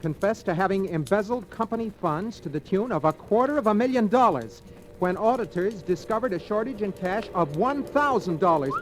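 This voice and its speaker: An actor playing a glum, sensible American bookkeeper, plainspoken and dry, in vintage radio drama style. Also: male voice, sits high, expressive, a little fast, slight background noise. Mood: surprised. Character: glum, sensible, honest bookkeeper, resigned to trouble. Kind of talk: 1940s-50s American radio drama acting. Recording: old radio recording, faint music.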